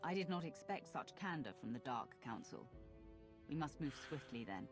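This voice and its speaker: Low-voiced, haughty Sith warrior. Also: female voice, lively intonation, moderate pace, soft background music. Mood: cool, probing. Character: proud, coolly menacing female Sith warrior, the Emperor's enforcer. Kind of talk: dramatic video game dialogue acting.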